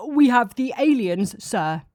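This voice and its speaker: Woman doing an alien soldier leader, pitched up, urgent but submissive to the boss, audiobook. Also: animated, takes it slowly, dark tone, no echo, studio quality. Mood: urgent, deferential. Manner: urgent, deferential. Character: alien soldier commander, pleading deferentially with its god. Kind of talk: dramatic audiobook character voice.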